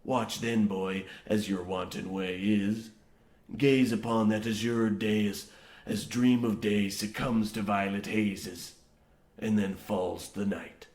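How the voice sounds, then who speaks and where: An actor playing a grizzled, half-mad old coal miner, boastful and ominous, rambling theatrically in rustic archaic phrasing in a comic old-time radio horror play. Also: male voice, on the low side, level tone, takes it slowly, a little mellow. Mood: wistful, grandiose. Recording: roomy, echoing sound.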